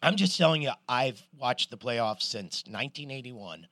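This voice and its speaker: Animated middle-aged man talking sports. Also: mid-range voice, moderate pace, dry recording. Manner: animated storyteller, swears, restarts a lot. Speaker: podcast co-host. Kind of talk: casual sports chat.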